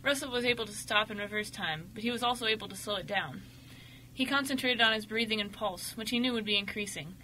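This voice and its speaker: A woman narrating a scripted radio story dramatically, with expressive, emphatic delivery. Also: mid-range voice, quick pace, rough recording. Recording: faint noise, amateur radio show recording.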